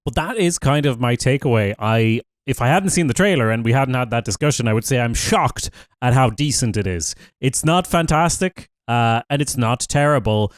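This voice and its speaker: A man with a smooth, clear voice talks through a TV review in a relaxed, chatty way, pausing to think aloud with fillers. The sound is close and dry, with no room behind it. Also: mid-range voice, dry recording. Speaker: podcast co-host. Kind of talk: casual TV review chat.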